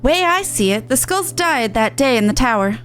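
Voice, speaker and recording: A young catfolk resistance fighter, acted by a woman, frank and tender-hearted underneath. Her voice is high and her speech colloquial, over soft game music. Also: animated, normal speed. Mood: frank, forgiving. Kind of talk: video game dialogue read aloud.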